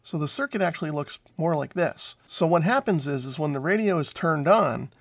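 Guy in his 40s, slightly high voice, walking thru a how-to step by step, plain talk. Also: expressive, moderate pace. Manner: plain-spoken, methodical explaining. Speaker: radio repair hobbyist and presenter. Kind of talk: explanatory tutorial talk to camera.

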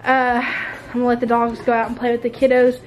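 A woman's voice: fairly high pitch, completely flat delivery, a little fast, faint music behind, low-quality recording. A woman, chatting casually and ramblingly to camera indoors at home. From United States. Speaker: homemaking vlogger. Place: indoors, open-plan living room and kitchen of a mobile home. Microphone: handheld camera at arm's length.

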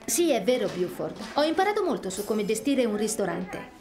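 A woman playing a kind, hardworking young restaurateur in an animated video game, sincere and composed. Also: medium pitch, normal speed, lightly acted.

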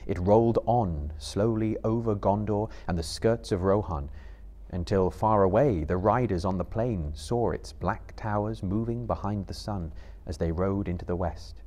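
A man in his thirties giving a live dramatic reading of literary narration in a measured, theatrical manner. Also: lowish voice, warm tone. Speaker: storyteller, reader. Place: indoor small stage before live audience.

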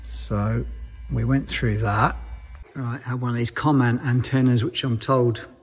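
A man's voice: medium pitch, fairly even intonation, unhurried, slightly rough. A man giving an informal technical explanation in a matter-of-fact, understated and somewhat hesitant way, as a maintenance engineer. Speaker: aircraft maintenance engineer presenting.

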